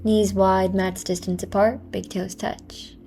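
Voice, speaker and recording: Female yoga instructor, gentle and encouraging, mid-pitched with noticeable vocal fry, music underneath. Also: level tone, takes it slowly. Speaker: yoga teacher. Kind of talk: guided yoga class with meditative cues.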